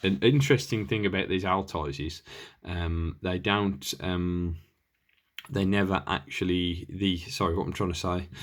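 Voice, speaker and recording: A man's voice: deep voice, fairly even intonation, unhurried, slight background noise. A man giving a casual, unscripted hands-on equipment demonstration as a hobbyist, hesitant and rambling as he thinks aloud. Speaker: hobbyist presenter reviewing radio equipment.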